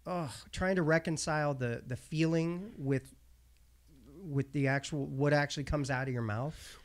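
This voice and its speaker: A man in his late thirties, hosting a casual podcast conversation, speaking reflectively and haltingly with many pauses to find his words. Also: on the high side, fairly even intonation. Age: 30s.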